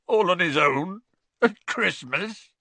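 A man voicing a huge, soft-hearted, distraught gamekeeper in an audiobook, with choked, tearful, rustic and ungrammatical speech. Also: on the low side, expressive, normal speed, dry recording. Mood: tearful, despairing.

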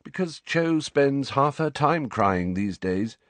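A man performs a know-it-all teenage schoolgirl for a storybook. The voice is raised well above his own, brisk and precise, with an earnest, exasperated, lecturing manner. The sound is dry and close. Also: on the high side, big pitch swings, breathy edge, no room sound, pitched above the performer's own voice. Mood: vague, matter-of-fact.

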